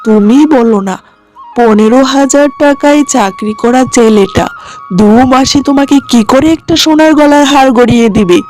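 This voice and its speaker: Lady doing a greedy sarcastic younger brother for a cartoon, kinda high voice, blamey, music behind. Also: normal speed, darkish tone, natural voice. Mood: blaming, bitter. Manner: sarcastic, blaming. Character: selfish, defiant younger brother, greedy salaried man. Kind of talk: animated moral story cartoon dialogue.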